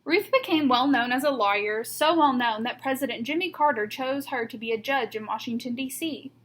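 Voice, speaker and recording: A woman reading a children's picture book aloud like a teacher, with deliberate emphasis on key words. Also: on the high side. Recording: noticeable background noise.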